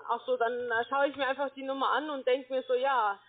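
A woman's voice: high voice, fairly even intonation, fast talker. A woman working as a phone sales agent, speaking defensively and persistently in an argument. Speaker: telephone sales agent, account manager. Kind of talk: sales call turned argument. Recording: thin, band-limited phone-line sound.

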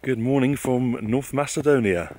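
A man's voice: mid-range voice, lively intonation, normal speed, noisy background. A young British man chatting casually to camera as a travel vlogger. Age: young adult. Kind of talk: casual travel vlog narration. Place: outdoors, snowy woodland and city park. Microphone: camera at arm's length. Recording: music over voice. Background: music.

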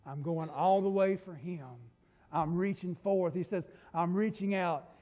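A middle-aged man with a Southern US accent, preaching a folksy sermon from a church pulpit, swinging between loud and hushed. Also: high-pitched, steady tone, measured pace. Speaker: pastor. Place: church sanctuary. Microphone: pulpit mic from a far camera. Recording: long church-hall reverb.

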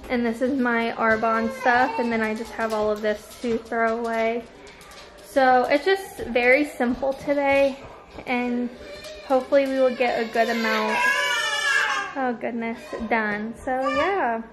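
A woman's voice: on the high side, steady tone, a little slow. A young woman filming a casual cleaning vlog in her family home, chatting to the camera and to her small kids. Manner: chatty, talks to her toddler and baby. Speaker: vlogger. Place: family house, kitchen and living room. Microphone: handheld camera, often held close.

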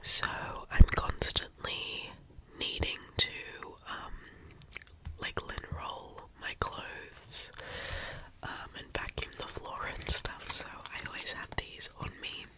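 Young woman with a cold doing ASMR: slow, soft close-mic whispering, stuffy and slightly croaky. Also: poor audio. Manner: soft whispering, slow and unhurried with frequent ums. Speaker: ASMR creator. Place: small bedroom indoors. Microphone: close studio mic with foam cover.

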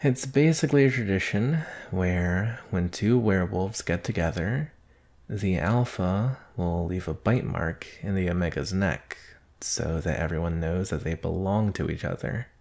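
A man acts out a bashful young male werewolf student in an intimate ASMR roleplay. His voice is low and full of creak. He speaks slowly and haltingly, nervous around the one he has a crush on. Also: a little mellow, full character voice. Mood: wry, confiding. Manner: hesitant, bashful, halting, sighing.

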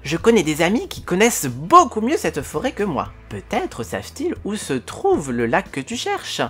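A woman voicing a tiny, cheerful male forest elf with a high, squeaky voice, reading a storybook. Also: normal speed, husky, faint music behind, full character voice. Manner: chatty, polite, excitable.

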